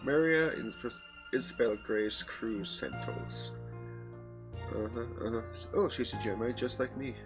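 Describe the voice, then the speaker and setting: A man's voice: mid-range voice, animated, a little slow. A man hosting a playthrough, reading story text aloud and commenting casually, with occasional stumbles. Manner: informal, stumbles while reading. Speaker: let's play host. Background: game music, rain.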